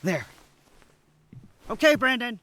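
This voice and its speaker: A man's voice: very high-pitched, very animated, takes it slowly, sharp tone, heavily acted. A man playing a jittery, evasive young man. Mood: nervous. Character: nervous, gloomy young man. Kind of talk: old-time radio drama acting.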